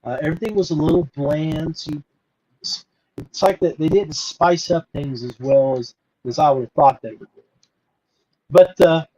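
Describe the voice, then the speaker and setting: Higher-voiced man, halting and folksy. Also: warm tone, husky, dull audio. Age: middle-aged or older. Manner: halting, folksy, repeats words. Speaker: podcast host. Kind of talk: casual rambling monologue to viewers.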